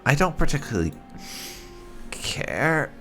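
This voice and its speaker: A man's voice: a little high, big pitch swings, slow, sharp tone, faint music behind. A man giving roleplay commentary over a game playthrough, musing and hesitant, with a dry delivery. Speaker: gaming video host.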